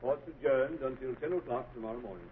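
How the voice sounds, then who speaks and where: A man's voice: medium pitch, fast, close to an everyday voice. A man playing a stern court judge. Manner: stern and official. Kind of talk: 1930s radio drama acting. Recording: old tinny radio broadcast, faint hiss.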